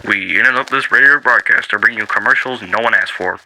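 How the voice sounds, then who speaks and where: A man announcing and pitching products in a mock 1940s radio commercial. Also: a little fast, low-quality recording. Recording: loud music under the voice. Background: loud music.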